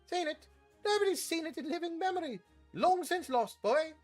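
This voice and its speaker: Guy putting on a tiny squeaky old wizard prof, high and jumpy, fussy. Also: animated, normal speed, a little mellow, soft background music. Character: tiny excitable old male charms professor.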